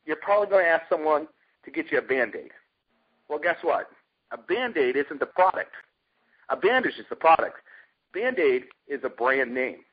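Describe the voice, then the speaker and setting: A middle-aged American man with a fairly high, husky voice delivers an informal marketing pitch over a muffled conference phone line. He tells it like a story, leaning on key points and throwing out rhetorical questions. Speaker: network marketer pitching a company. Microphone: telephone conference call.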